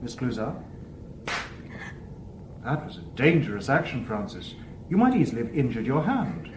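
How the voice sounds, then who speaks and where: An actor playing a polite, logical humanoid robot detective in a radio drama, speaking formally and precisely. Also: male voice, medium pitch, big pitch swings, normal speed, darkish tone, reverberant, slight background noise, lightly acted. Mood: calm, inquiring. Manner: formal, precise wording without contractions.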